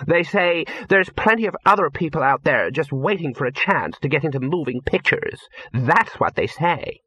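A man's voice: fairly high pitch, animated, normal speed, fairly bright tone, husky, voice pushed up. A man voicing an indignant, fed-up young actress complaining in an audiobook. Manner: demanding, complaining. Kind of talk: audiobook character voice.

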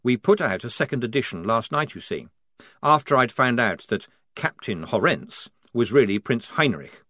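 A man's voice: mid-range voice, animated, moderate pace, sharp tone, dry recording, studio quality. A man voicing a nervous, eager male newspaper editor who talks in a polite, chatty, persuasive way. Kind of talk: audiobook character voice.

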